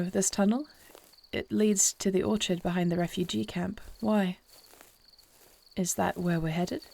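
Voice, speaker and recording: A woman's voice: lowish voice, creaky, dark tone. A woman playing a short-tempered but caring newly crowned queen in a fantasy audio roleplay, with formal, regal phrasing. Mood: touched, curious, then concerned, intrigued.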